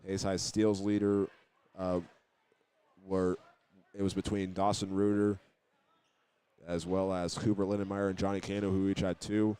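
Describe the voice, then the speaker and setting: A man's voice: low voice, level tone, measured pace, pauses often, warm tone, smooth. A man giving postgame basketball radio commentary, reading out statistics with some hesitation. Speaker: sports play-by-play announcer.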